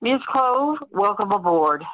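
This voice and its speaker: A woman's voice: medium pitch, lively intonation, unhurried, fairly bright tone, lo-fi sound. A woman playing a self-assured, upbeat mayor giving polished speeches in an amateur radio play. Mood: proud, rallying.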